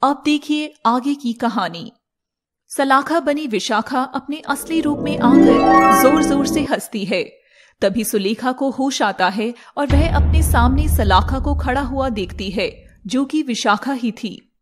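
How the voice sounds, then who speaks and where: A woman narrating a scripted animated fairy tale as storyteller, with noise behind her. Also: high-pitched, slow pace, loud music behind the voice.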